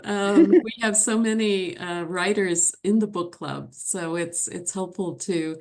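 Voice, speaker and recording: A woman's voice: lowish voice, measured pace, a little mellow. A woman interviewing a guest for a book club, asking polite, hesitant questions.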